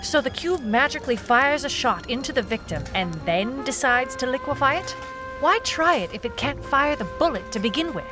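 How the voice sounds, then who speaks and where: A woman's voice: high-pitched, expressive, normal speed. A woman playing a wry, defiant noir private eye in an audio drama, in narration and dialogue. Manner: hardboiled, wry. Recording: music behind the voice.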